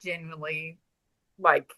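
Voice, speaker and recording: A woman's voice: low-pitched, little pitch movement, normal speed, sharp tone, dry recording. A woman in her thirties, a podcast guest, chatting casually and laughing often. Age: 30s. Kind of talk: casual interview chat.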